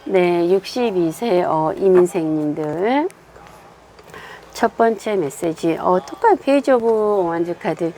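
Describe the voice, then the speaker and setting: A woman with a hoarse voice from a cold, unhurried and full of filler sounds, giving a monthly tarot fortune reading. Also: on the low side, animated, mellow, a little hiss or hum. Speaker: tarot reader.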